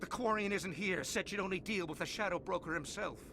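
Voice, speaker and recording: An actor playing a shifty, cowardly crime boss in a video game. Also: male voice, high voice, a little fast. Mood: pleading. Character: cowardly, shifty male crime boss, pleading for his life. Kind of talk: video game villain acting. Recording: clearly noisy game ambience behind.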